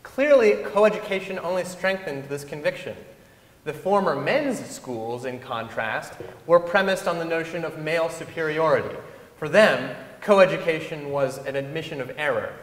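Young man, slightly high voice, formal stage reading in an echoing hall. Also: animated, normal speed, fairly bright tone. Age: young adult. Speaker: reader in a dramatic reading. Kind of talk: staged reading of speeches and letters. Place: large wooden concert hall stage. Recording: echoey concert hall with long reverb.

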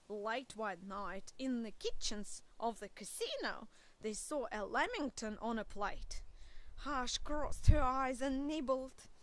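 A woman reading a picture book aloud to preschoolers, deliberate and expressive, as if speaking to young listeners. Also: high voice, rough recording. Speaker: children's storyteller.

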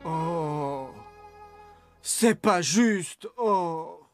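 An actor in a French children's cartoon dub voicing an excitable, competitive young vehicle that gets whiny when thwarted. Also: mid-range voice, extremely slow, soft background music. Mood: sulky, upset.

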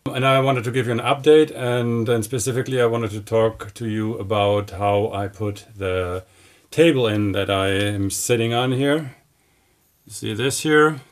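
A man's voice: mid-range voice, fairly even intonation, a little slow. A man in his forties, a native German speaker, talking casually to camera inside a truck cab, explaining his build with many ums and uhs. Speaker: vlogger building a camper. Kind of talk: casual DIY update to camera.

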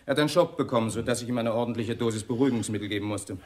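A man's voice: mid-range voice, very fast, darkish tone, a little hiss or hum, natural voice. Matter of fact doctor guy actor, normal mid voice, radio crime drama. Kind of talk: German radio drama acting.

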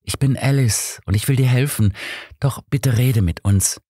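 Audiobook role: male reader as earnest female helper, coaxing, lifted in pitch. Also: normal speed, warm tone, husky, no room sound, studio quality, natural voice. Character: caring, direct female helper.

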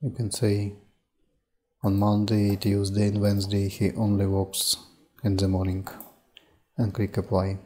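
Slow, flat-voiced man walking through software. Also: on the low side. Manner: unhurried, stepwise, with frequent "uh" and "um". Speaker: software demo presenter. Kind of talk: screen-recorded software tutorial.

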